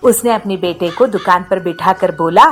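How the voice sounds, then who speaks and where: A woman's voice: lowish voice, moderate pace, soft background music. A woman narrating a scripted moral story for children.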